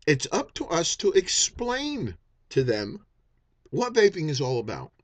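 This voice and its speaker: A man's voice: a little high, wildly swooping pitch, unhurried, no echo. A man in his fifties talking bluntly in an unscripted vlog, swearing often and restarting his sentences. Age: 50s. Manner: blunt and profane, with many restarts and fillers. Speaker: vaping YouTuber.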